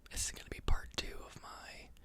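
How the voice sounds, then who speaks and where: An ASMR host who teaches, reading a book aloud and then thinking aloud in a soft, unhurried whisper with many pauses and fillers.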